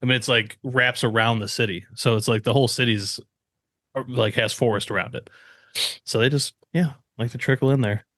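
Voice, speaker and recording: An American man with a mid-pitched voice chats loosely as a podcast host. He tells stories in a blunt, sweary way. The sound is dry and free of room. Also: steady tone, brisk, a bit bright, dry recording. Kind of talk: casual chat among friends.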